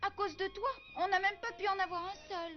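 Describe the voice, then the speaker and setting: A woman's voice: very high voice, flat delivery, brisk. A woman voicing a bossy, competitive young female wolf cub in a dubbed cartoon. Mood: scolding. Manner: bossy, scolding. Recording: muffled cartoon soundtrack with music.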